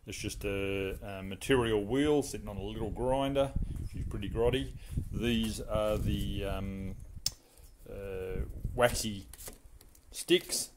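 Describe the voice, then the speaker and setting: Relaxed man in his garage. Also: on the low side, slight background noise. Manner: relaxed, rambling, asks viewers for advice. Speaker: car restoration vlogger. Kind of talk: casual workshop walkthrough. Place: home garage workshop.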